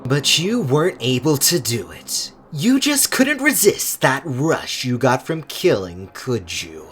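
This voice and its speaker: A woman playing a cold, haughty teenage boy in an anime game fandub, measured, condescending and disdainful. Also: rumbling low, very animated, slight background noise, exaggerated voice. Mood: scornful, cutting. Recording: loud music behind. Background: music.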